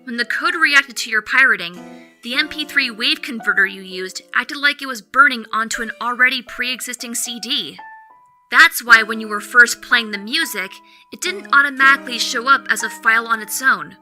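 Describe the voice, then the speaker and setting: Woman as a bright, high-voiced confident hacker sidekick. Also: faint music behind. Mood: confident, explaining. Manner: brisk, explanatory, self-assured. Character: confident, teasing young female hacker sidekick. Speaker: voice actor. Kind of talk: audio drama acting.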